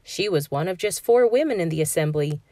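A woman reading a children's picture book aloud in a clear, steady storytelling voice for a classroom. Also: lowish voice, fairly quick, no room sound, clean studio sound. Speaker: read-aloud narrator.

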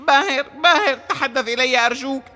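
A gullible, loyal young wolf from a children's cartoon, talking nervously and naively. His voice is pitched high. Music is clearly heard behind him. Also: quick pace, pushed and bright. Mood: fearful. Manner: naive and anxious. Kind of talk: dubbed cartoon acting.

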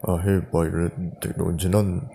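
Low-pitched man, gravelly fry, halting and repetitive, casual improvised chat, noisy recording. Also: flat delivery, mellow. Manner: halting, repeats words. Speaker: prank call video creator, host.

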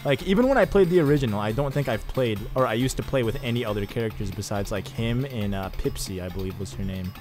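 A young man giving casual, excitable let's-play commentary over his gameplay, chatting with jokey asides. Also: medium pitch, fast, hardly any pauses, music in the background. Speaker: gaming YouTuber.

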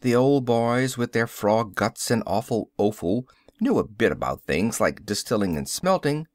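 A man's voice: medium pitch, very animated, measured pace, no room sound, studio quality. A man voicing an adult male social scientist who lectures with a confident, explanatory cadence in an audiobook. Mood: lecturing. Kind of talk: audiobook character reading.

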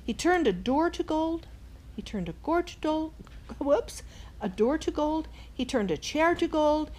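A woman's voice: high-pitched, animated, a little slow, darkish tone. An older woman reading a children's storybook aloud as a grandmother, expressively, with exclaimed asides. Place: large indoor lodge room with fireplace. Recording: clearly noisy room, ambient sound.

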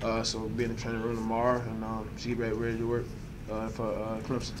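A young man in his twenties, a college quarterback answering post-game press questions in a halting, soft-spoken way with many fillers. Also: lowish voice, completely flat delivery, background noise. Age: 20s. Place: press conference room.

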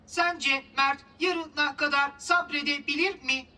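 Little cartoon companion, gentle, curious, high-pitched, unhurried. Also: female voice, fairly even intonation, exaggerated voice. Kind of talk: children's cartoon dub acting. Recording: music under the voice.

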